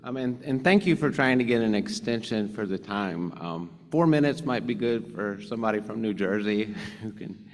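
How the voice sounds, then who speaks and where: A man, a parent and self-described slow speaker, politely giving public comment with many ums. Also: fairly low pitch, expressive. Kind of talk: public comment at a board meeting. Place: large meeting hall. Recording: long hall reverb.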